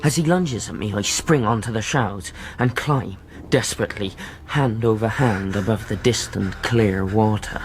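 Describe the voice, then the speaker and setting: A man's voice: fairly low pitch, normal speed, few pauses, slight background noise, natural voice. A man playing an earnest seafaring lad who narrates his own adventure in dialect, in a radio drama. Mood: tense recounting. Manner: rustic dialect, earnest storytelling. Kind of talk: dramatised first-person narration.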